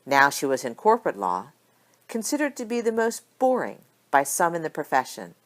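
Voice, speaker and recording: Low-pitched woman reading a story, very expressive. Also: normal speed. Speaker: audiobook reader of fan fiction. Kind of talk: scripted story narration.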